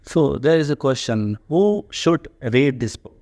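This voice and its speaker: A man hosts an interview with one of his students, an English teacher putting plain questions one after another. His voice is mid-pitched and his delivery measured. The sound is dry and close. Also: expressive, a little mellow, no room sound.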